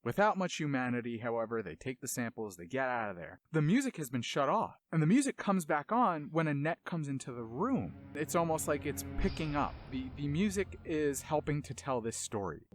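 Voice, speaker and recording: A man's voice: a little high, sing-song, brisk, hardly any pauses, soft background music. A man hosting a video essay with casual analytical commentary, dramatic emphasis and colloquial asides.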